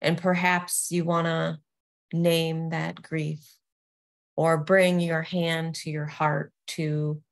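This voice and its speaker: A woman introducing a guided meditation as a yoga nidra teacher, speaking gently and deliberately with long pauses. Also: low voice, level tone, gravelly.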